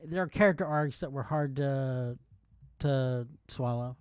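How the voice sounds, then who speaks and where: A man's voice: mid-range voice, a little slow, smooth, no echo, studio quality. A man co-hosting a podcast, talking in a laid-back, easygoing way. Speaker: podcast co-host. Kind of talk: casual chat.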